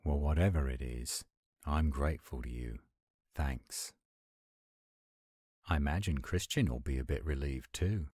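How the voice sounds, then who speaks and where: A man playing a gruff, weary but kind werewolf pack leader, measured and plain-spoken, in an audio roleplay. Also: a bass voice, darkish tone, dry recording, clean studio sound. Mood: earnest.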